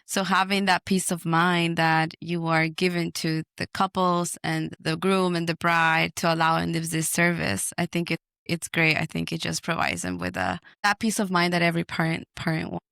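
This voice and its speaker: Woman podcast host, forceful bright tone, crisp and smooth, effusive, encouraging, conversational. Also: on the low side, moderate pace, few pauses, no room sound, clean studio sound.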